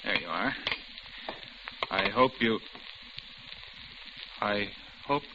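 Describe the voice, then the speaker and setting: A crackly, dull old radio recording carries a younger diner man. He is low-pitched and terse, eerily blank and guarded. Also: very slow. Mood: guarded, curt. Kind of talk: 1940s radio drama acting.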